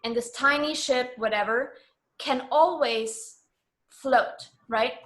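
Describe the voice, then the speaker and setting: High-voiced woman, earnest interview guest. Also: takes it slowly, fairly bright tone. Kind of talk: conversational interview.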